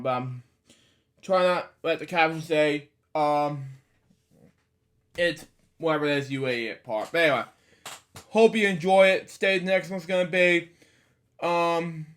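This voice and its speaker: A man in his twenties hosts a casual read-aloud, stumbling over words and wandering into off-the-cuff asides with repeated catchphrases. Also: fairly high pitch. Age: 20s. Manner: halting, stumbles over words while reading. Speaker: host of a story reading series.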